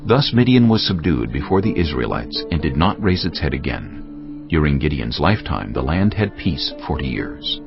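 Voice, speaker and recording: A man's voice: a bass voice, very animated, moderate pace, creaky. A man narrating scripture from a script in a dramatic storytelling style. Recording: dull audio with music underneath. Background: music.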